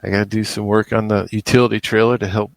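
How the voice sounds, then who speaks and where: A man's voice: fairly low pitch, level tone, fast, gravelly, poor audio. A man chatting casually as a podcast co-host, in a laid-back, plain-spoken way. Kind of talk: casual chat.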